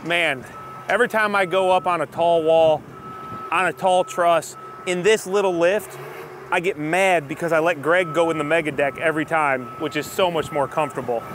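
A man in his thirties talks through his work on a building site in an easygoing, explanatory way. His voice sits a touch high. Clear wind and machinery noise run underneath. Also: normal speed. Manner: relaxed, explanatory, chuckles often. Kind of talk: casual on-site narration. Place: outdoors on a lift at a windy build site. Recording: windy outdoor site noise.

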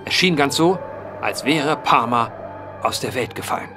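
Male role, played by an actor: earnest, methodical investigator for period horror radio play. Formal, courteous, lively intonation, faint music. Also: mid-range voice, close to an everyday voice. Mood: grave narrating. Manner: formal, measured, old-fashioned phrasing. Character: earnest, methodical man investigating vanishings, polite storyteller. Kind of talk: period horror audio drama acting.

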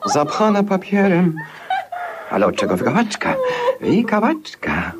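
An actor playing a nervous, eccentric bridegroom in an absurd 1950s radio play, theatrically jumping between mutters and exclamations. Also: male voice, sits high, wildly swooping pitch, unhurried, heavily acted. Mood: excited, scheming. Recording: noisy old radio recording with clicks.

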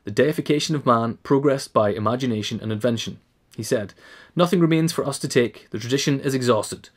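A man narrating a scripted essay in a formal, measured way. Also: medium pitch. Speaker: reader.

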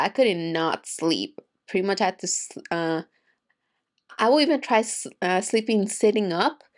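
A young woman chatting casually to camera from her home room as an anime reaction host, rambling with restarts, still recovering from an illness with a slight cough, speaking into a desk mic. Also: on the low side. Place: home room with shelves of figures and manga. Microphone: desk mic on boom arm in front.

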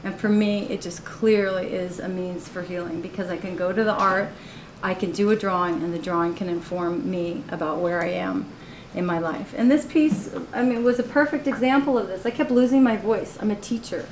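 A woman's voice: mid-range voice, moderate pace. Candid woman artist in a roomy gallery. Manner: candid, laughs often, many "you know"s. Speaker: artist giving a gallery talk. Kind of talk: casual talk on her own artworks. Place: college art gallery. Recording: roomy live recording with faint noise.

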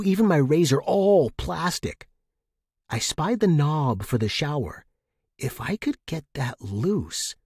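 A man's voice: medium pitch, a little mellow, breathy edge, no room sound, studio quality. A man reading scripted first-person fiction as a story narrator.

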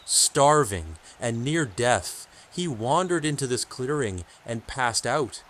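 An actor playing a solemn keeper of sacred lore in an audio drama, measured and portentous as a storyteller. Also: male voice, mid-range voice, animated, fairly bright tone, a little hiss or hum. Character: solemn male sage, keeper of sacred word.

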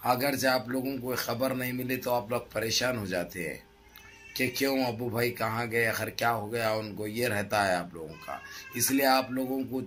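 A middle-aged man with a hoarse throat gives impassioned political commentary to his viewers in emphatic, repetitive, rhetorical phrases. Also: medium pitch, moderate pace, fairly bright tone, slight background noise. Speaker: news commentary vlogger. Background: background music.

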